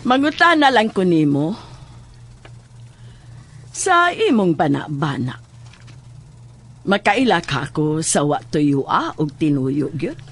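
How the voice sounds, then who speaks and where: A woman's voice: low voice, big pitch swings, fairly bright tone, full character voice. A woman acting a stern, suspicious Cebuano mother. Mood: probing, veiled menace. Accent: Filipino, Cebuano. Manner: probing, pointed, deliberate. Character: stern, suspicious mother questioning her child. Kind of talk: Filipino horror audio drama acting.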